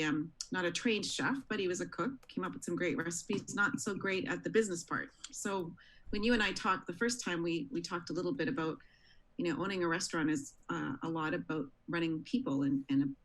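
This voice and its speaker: A woman's voice: on the low side, fairly bright tone, low-quality recording. A woman from Tanzania, raised in Canada, a restaurant owner telling her story in a friendly, conversational interview. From born in Tanzania, raised in Canada. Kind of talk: casual interview chat.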